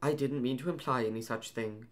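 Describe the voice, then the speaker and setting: A woman's voice: rumbling low, a little fast, pitched below the performer's own voice. A woman voicing a polite, fussy male protocol droid with a prim, professorial manner.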